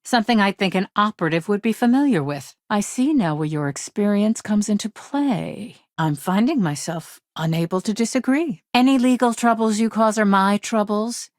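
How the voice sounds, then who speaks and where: A woman playing a brisk, guarded, impatient corporate lawyer in a video game, speaking in a crisp, formal, curt way. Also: medium pitch, animated, darkish tone, no echo, clean studio sound, lightly acted. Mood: firm, guarded. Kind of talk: scripted game dialogue.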